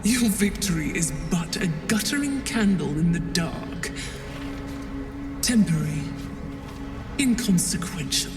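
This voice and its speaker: A woman voicing a cold, domineering goddess of darkness in a video game, deliberate and ominous, with a booming reverb effect. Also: animated. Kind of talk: slow, theatrical game monologue. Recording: long echoing reverb, distant, music behind. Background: background music.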